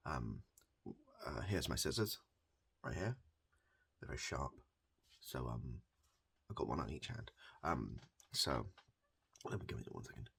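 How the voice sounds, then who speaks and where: A man with a very deep, creaky and husky voice performs improvised ASMR comedy as a hairdresser. He speaks softly and deadpan. His delivery rambles, halts and circles back on itself. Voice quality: gravelly, husky low voice. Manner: rambling, deadpan, halting and repetitive.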